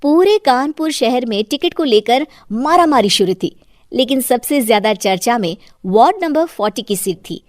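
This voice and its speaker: A woman's voice: a little high, animated, moderate pace, no echo, studio quality. A woman narrating a scripted moral story as storyteller.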